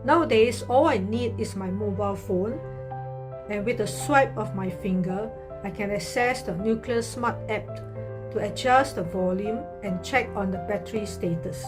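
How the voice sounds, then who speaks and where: A woman's voice: mid-range voice, normal speed. Woman in her sixties, testimonial over music. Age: 60s. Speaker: product user giving testimonial. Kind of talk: scripted testimonial.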